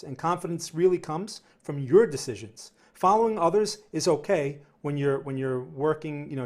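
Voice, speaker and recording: A man with a New Jersey accent hosting a live trading stream, answering viewer questions in a rambling way, often repeating words and tagging sentences with "right?". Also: on the high side. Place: home studio near New York City.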